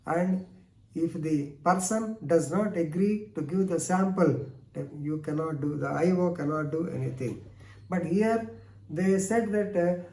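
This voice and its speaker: A man's voice: high-pitched, mellow. A man with an Indian accent giving an explanatory law lecture in a didactic way, repeating phrases for emphasis. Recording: echoey room, distant microphone.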